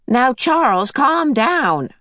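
A woman's voice: high-pitched, big pitch swings, dry recording, clean studio sound, voice pushed up, full character voice. A woman voicing a cheerful, motherly old otherworldly female being who soothes and fusses. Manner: bright and soothing, brisk.

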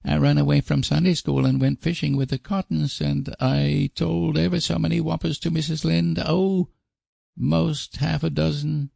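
A man's voice: on the high side, fairly even intonation, normal speed, vocal fry, darkish tone, no room sound, pitched above the performer's own voice. A man voicing a guilty, eager little boy in a storybook, childlike, earnest and confessional. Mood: guilty confessing. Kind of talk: storybook character voice.

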